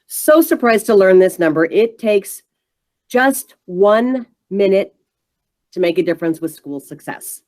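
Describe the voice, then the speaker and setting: A woman's voice: mid-range voice, expressive, measured pace, a little mellow. A woman presenting an interactive online workshop for parents in an encouraging, emphatic way.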